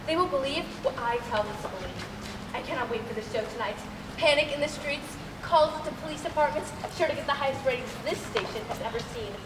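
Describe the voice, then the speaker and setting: A woman's voice: sits high, natural voice. A young woman playing a pompous, autocratic, vain radio play director in an imperious, theatrical, cutting way. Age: teenager. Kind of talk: amateur stage comedy acting. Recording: echoey hall, far off, noisy.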